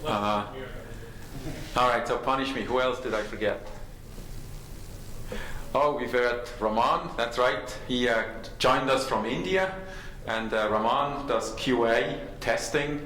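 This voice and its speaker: A man giving informal, halting introductions at a company event, self-deprecating and full of fillers. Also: medium pitch, fairly bright tone. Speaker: company host introducing guests. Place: large conference room. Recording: echoey room, faint noise.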